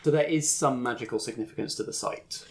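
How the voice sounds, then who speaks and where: A man's voice: medium pitch, brisk, very noisy. A man narrating a tabletop roleplaying game as game master, explaining things in an informal way.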